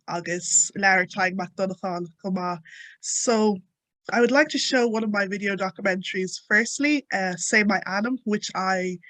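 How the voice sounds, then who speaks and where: A young Irish woman speaking on a panel, her voice bright and dry, close to the mic. She talks in a casual, upbeat way, moving between Irish and English with plenty of likes and kind ofs. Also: mid-range voice, dry recording. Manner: casual and upbeat, with many fillers.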